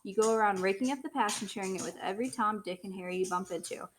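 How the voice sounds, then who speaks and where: A woman's voice: medium pitch, quick pace, slight background noise, close to an everyday voice. A woman reading the lines of a middle-aged father who scolds his son. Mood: angry scolding. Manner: quiet then shouting, scolding. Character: stern father, middle-aged man, losing his temper.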